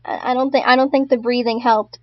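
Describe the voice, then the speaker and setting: A woman's voice: on the high side, fairly even intonation, a little fast, no room sound, dull audio. A woman streaming casually to her chat, stuttering often and repeating her words. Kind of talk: casual live-stream commentary.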